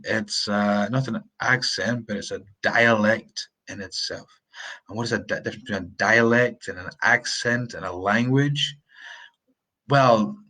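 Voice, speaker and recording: Slow, chatty Scottish man teaching English. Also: on the low side, fairly bright tone. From Scotland. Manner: chatty, rambling, repeats words, demonstrates sounds slowly. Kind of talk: live-streamed pronunciation lesson. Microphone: phone or webcam on a live stream.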